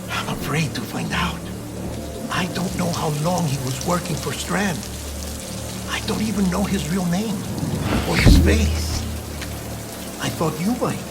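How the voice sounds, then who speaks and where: High-voiced, creaky scientist colleague. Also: male voice, measured pace, pauses often, loud music behind the voice. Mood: uneasy, confiding. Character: earnest, secretive male scientist colleague, dryly teasing. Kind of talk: video game cutscene acting.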